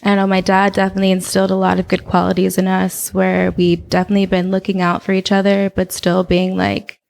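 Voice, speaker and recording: A young woman from Oakland with a low voice, chatting casually as a podcast guest with lots of fillers. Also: quick pace, creaky. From Oakland, California. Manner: relaxed, chatty, with frequent fillers. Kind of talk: casual interview chat.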